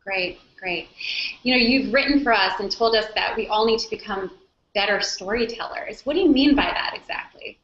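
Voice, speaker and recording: A woman hosting an online interview, asking questions in a brisk, friendly way over a video call. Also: a bit bright, highs cut off. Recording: echoey low-fi video call audio.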